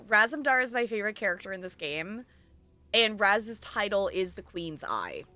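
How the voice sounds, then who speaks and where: A woman's voice: medium pitch, pushed and bright, no room sound. A woman streaming a video game live, commenting expressively and playfully and reading dialogue choices aloud.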